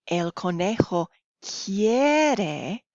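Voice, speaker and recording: A woman's voice: mid-range voice, very animated, extremely slow, darkish tone. A woman teacher giving a recorded lesson, reading slides aloud in an instructive way with short check-in questions. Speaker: Spanish teacher. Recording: dull lo-fi, roomy home recording.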